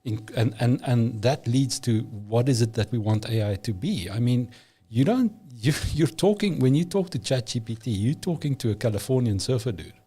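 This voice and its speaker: Man, Afrikaans speaker, mellow tone, emphatic, opinionated, podcast guest. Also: mid-range voice, expressive, moderate pace, hardly any pauses, breathy edge. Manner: emphatic, opinionated, frequent restarts. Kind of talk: casual conversation.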